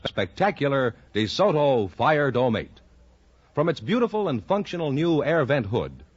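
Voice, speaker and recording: A man's voice: medium pitch, slightly rough. An American man announcing on an old radio broadcast in a polished, emphatic salesman's style. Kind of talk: 1950s radio commercial and announcing. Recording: muffled 1950s radio broadcast recording.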